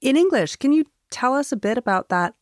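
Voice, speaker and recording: A woman journalist hosting a literary interview, putting carefully phrased, articulate questions in a measured way. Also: high-pitched, animated, dry recording, clean studio sound. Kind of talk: literary interview before an audience or camera.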